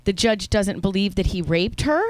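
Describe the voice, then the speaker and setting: A woman on a radio panel, asking quick blunt questions in casual banter. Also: medium pitch, lively intonation, a little mellow.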